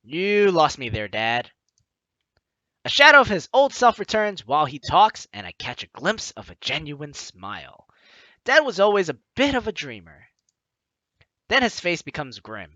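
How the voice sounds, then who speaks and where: A man's voice: fairly high pitch, wildly swooping pitch, measured pace, pushed and bright, no echo, dull audio. A man reading a story game aloud as a let's player, with dramatic narration and casual asides. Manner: dramatic reading, slipping into offhand comments.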